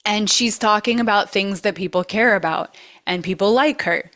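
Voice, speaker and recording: A woman with a trained, steady voice leads a masterclass in an easygoing coaching chat. She talks earnestly and drives her points home by repeating and stressing key words. Her pitch sits right in the middle. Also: moderate pace. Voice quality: vocally trained. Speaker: masterclass host. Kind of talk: casual coaching talk.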